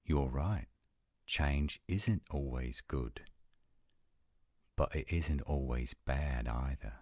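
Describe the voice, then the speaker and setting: Very deep-voiced man acting a steady werewolf lover. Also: slow, dry recording, clean studio sound, exaggerated voice. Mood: reassuring. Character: adult male werewolf, steady reassuring lover. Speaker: voice actor. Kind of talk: romantic roleplay audio acting.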